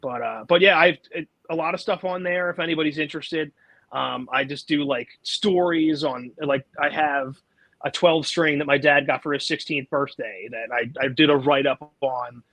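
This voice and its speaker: A man's voice: on the high side, normal speed, fairly bright tone, dry recording, low-quality recording. A man from the United States speaking as a musician guest in a casual interview, easygoing and agreeable.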